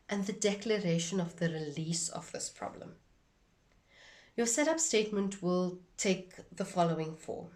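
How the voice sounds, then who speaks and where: Measured woman instructor, distant from the mic. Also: fairly low pitch, a little mellow. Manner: measured, deliberate, phrase-by-phrase delivery. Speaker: instructor demonstrating a tapping technique. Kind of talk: instructional explanation and demonstration.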